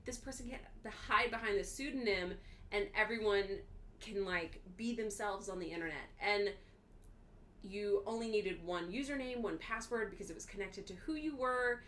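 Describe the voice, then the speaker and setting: A woman's voice: medium pitch, moderate pace, fairly bright tone, slight background noise. A woman co-hosting a casual book club livestream, explaining her thoughts point by point.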